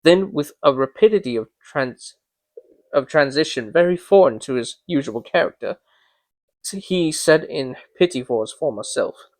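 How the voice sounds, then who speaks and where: Higher-voiced man narrating dramatically, dry sound. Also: animated, normal speed, a little mellow, no room sound. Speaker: amateur reader of a classic story.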